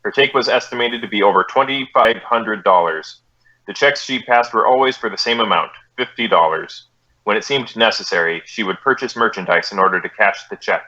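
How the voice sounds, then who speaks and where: A man's voice: on the low side, fairly quick, pushed and bright, highs cut off. An American man playing a terse, businesslike police detective in a clipped, matter-of-fact 1950s radio drama style. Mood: flat, official. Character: terse, businesslike male police detective, narrating his case.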